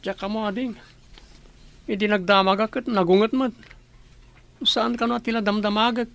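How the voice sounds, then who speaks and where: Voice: mid-range voice, fairly even intonation, normal speed, a bit bright, close to an everyday voice. A performer playing a young, bitter and dismissive older brother in an Ilocano-accented radio drama. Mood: sullen. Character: older brother, young, bitter and resentful toward absent mother.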